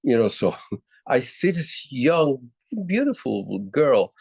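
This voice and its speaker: An older man from Puerto Rico with a low voice speaks in a relaxed interview. He circles back and restarts his sentences often as he recalls old stories. The sound is dry and close. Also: gravelly, husky, no room sound. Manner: halting, many restarts and fillers. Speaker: guest musician. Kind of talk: casual interview storytelling.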